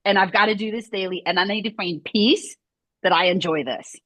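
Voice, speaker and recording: A woman's voice: medium pitch, fairly quick, a bit bright, dry recording. A woman who runs a scoliosis movement studio, chatting casually and rambling with lots of "like" fillers. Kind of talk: casual video chat conversation.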